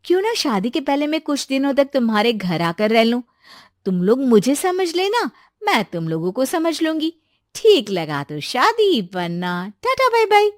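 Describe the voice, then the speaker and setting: A woman's voice: sits high, sing-song, a little slow, no room sound, studio quality. A woman voicing an outspoken, playful young woman about to marry, in a cartoon story. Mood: chirpy. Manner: chirpy, playful. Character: lively, outspoken young bride-to-be.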